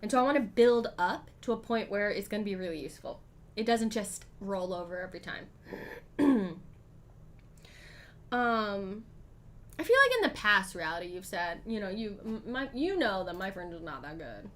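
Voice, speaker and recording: A woman streaming a solo board game, chatting casually and thinking aloud, with frequent ums and throat clearing. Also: medium pitch, animated, normal speed, a bit bright. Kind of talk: casual live-stream play-through.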